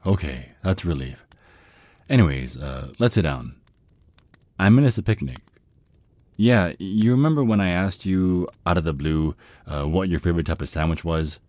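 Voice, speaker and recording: A very low, close and dry male voice , an actor's, plays a bashful young vampire in an ASMR roleplay. He stammers nervously and rambles in awkward, halting bursts, easily flustered. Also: darkish tone, dry recording, close to an everyday voice. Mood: nervous, eager.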